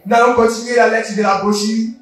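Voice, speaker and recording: A man preaching in a large hall, his voice set high and slow as he declaims each line. The sound is heavily echoey and he is heard from far off the mic. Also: flat delivery. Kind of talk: sermon.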